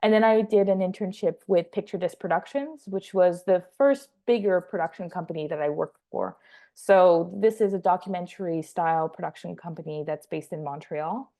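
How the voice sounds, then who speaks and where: A young woman with a French Canadian accent talks students through her work in a loose, chatty way, full of fillers and restarts. Her voice sits a little low and sounds warm and mellow. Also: normal speed. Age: 20s. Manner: chatty, many fillers and restarts, light laughs. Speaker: guest speaker, assistant editor. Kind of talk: casual slideshow talk to students.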